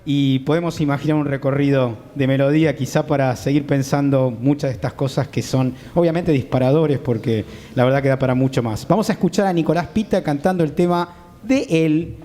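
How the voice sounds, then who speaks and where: A man's voice: medium pitch, fairly quick, warm tone. A man with an Argentine accent hosting a live panel talk in a theatre. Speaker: host. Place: theatre stage before an audience. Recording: echoey hall with long reverb.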